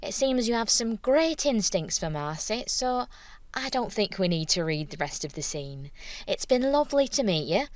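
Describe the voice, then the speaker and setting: Casting: actress for smooth-talking female television executive in audio drama, wry and dismissive, animated mid-range voice, dry studio sound. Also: mid-range voice, normal speed, dry recording, natural voice. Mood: smoothly defensive. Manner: businesslike, politely dismissive, wry. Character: brisk, smooth-talking female TV casting boss, privately scornful. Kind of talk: naturalistic audio drama acting.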